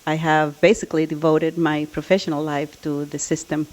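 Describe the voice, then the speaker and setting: Low-voiced, earnest middle-aged woman with Dominican accent. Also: little pitch movement, moderate pace, darkish tone, rough recording. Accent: Dominican Spanish. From Dominican Republic. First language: Spanish. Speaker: college administrator and job candidate. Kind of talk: public address and candidate question-and-answer.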